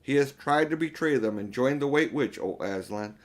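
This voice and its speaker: A man's voice: medium pitch, lively intonation, normal speed, close to an everyday voice. A man voicing a timid, loyal male beaver with a deferential manner in a storybook reading.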